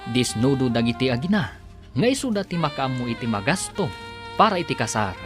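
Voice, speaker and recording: A man's voice: mid-range voice, sing-song, brisk. A man reading scripted dramatic narration as the announcer of a radio drama. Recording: music under the voice.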